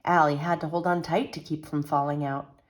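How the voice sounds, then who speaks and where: A woman reading a children's picture book aloud as a storyteller, expressive and teacherly. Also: deep voice, a little fast.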